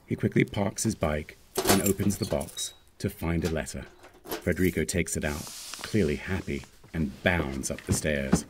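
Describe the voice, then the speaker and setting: A man's voice: deep voice, a little mellow, breathy edge. A man reading screenplay stage directions as scripted narration.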